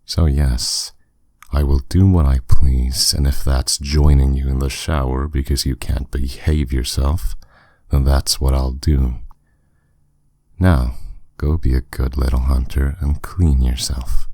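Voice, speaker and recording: A man's voice: very deep voice, steady tone, slow, dark tone, no echo, studio quality, full character voice. A man playing a dominant, suave demon lord in an intimate roleplay audio drama, speaking in a commanding, condescending, teasing way.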